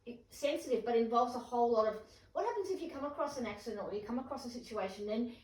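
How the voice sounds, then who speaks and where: A woman's voice: fairly high pitch, animated, fast, darkish tone. Reflective woman in an echoey room. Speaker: class participant. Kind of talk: reflective personal sharing in class. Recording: echoey room, distant mic.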